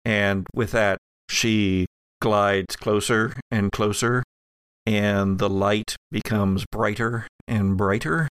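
A man's voice: lowish voice, steady tone, slow, fairly bright tone, clean tone, dry recording. A man narrating an improvised audio drama game as the game master.

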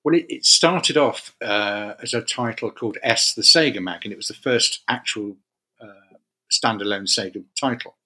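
Middle-aged male interview guest from the UK; bright, rambling, self-correcting delivery. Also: on the low side, moderate pace, breathy edge, rough recording. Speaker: guest, games magazine editor. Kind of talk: casual interview chat.

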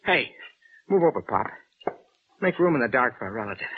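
An actor playing a brash, impatient, mocking stepson in a 1940s radio drama, on an old recording. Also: male voice, mid-range voice, big pitch swings, brisk. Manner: brash, scoffing, punchy. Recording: slightly noisy, dull old radio recording.

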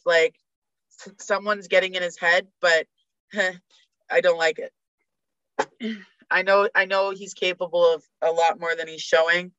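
A woman's voice: lowish voice, pushed and bright, dry recording, muffled recording. A woman guest on a sports podcast, chatting casually with blunt opinions and frequent laughter. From Canada.

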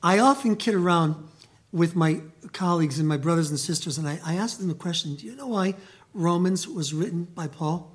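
A man whose first language is Italian, preaching a sermon as pastor: he reads scripture emphatically, prays softly, and speaks in a church building. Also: a little high, breathy edge. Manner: slow, emphatic reading building to loud proclamation; quiet, soft prayer voice. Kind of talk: sermon with scripture reading and prayer.

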